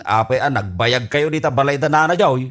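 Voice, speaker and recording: A man's voice: medium pitch, brisk, fairly bright tone, lightly acted. A man acting a sly, teasing drinking buddy who pries and insinuates in an Ilocano radio comedy drama, with an Ilocano accent. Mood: teasing, prying.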